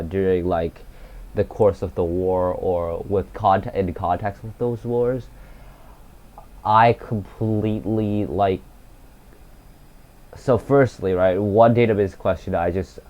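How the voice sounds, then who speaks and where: A teenage boy whose first language is Cantonese, talking glumly in a casual vlog with many fillers, restarts and trailing pauses. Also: sits low, a little mellow, dull audio. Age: teens. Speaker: gaming YouTuber.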